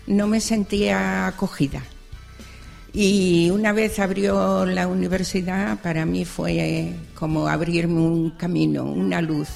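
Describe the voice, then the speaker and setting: A woman's voice: on the low side, level tone, takes it slowly, creaky, a little mellow. An older woman from Extremadura sharing her story into a handheld microphone at a public event, repeating phrases in an earnest, chatty way. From Extremadura, Spain. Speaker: audience member, senior university student. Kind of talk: spontaneous testimony at a public event. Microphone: handheld microphone passed around. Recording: hall reverb with faint music.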